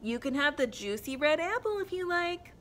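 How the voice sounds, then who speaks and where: A woman voicing a polite little male hedgehog in a storybook reading, asking softly and courteously. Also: high voice, very animated, moderate pace, slight background noise, pitched above the performer's own voice.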